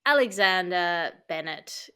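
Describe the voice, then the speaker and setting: A woman's voice: lowish voice, expressive, slow, sharp tone, clear, resonant tone. Woman hosting a comedy news podcast. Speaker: host of a comedy panel podcast. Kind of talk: comic news banter.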